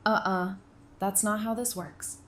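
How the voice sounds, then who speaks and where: A woman's voice: mid-range voice, fairly even intonation, measured pace, lightly acted. A woman playing a guarded but compassionate survivor in an audio drama, speaking in a dry, blunt way. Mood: dry, challenging.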